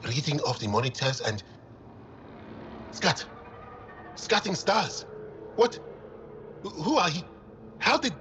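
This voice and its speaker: An actor playing a jumpy, suspicious alien field researcher in a video game, blunt and excitable. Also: male voice, medium pitch, normal speed, a little hiss or hum, close to an everyday voice. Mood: startled. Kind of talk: cinematic video game acting.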